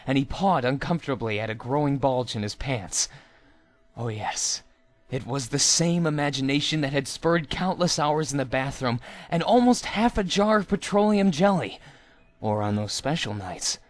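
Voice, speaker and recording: A man's voice: medium pitch, dry recording. A man narrates a written story in a mid-range voice. His delivery is theatrical and dramatic, like an amateur storyteller performing the text as he reads it aloud.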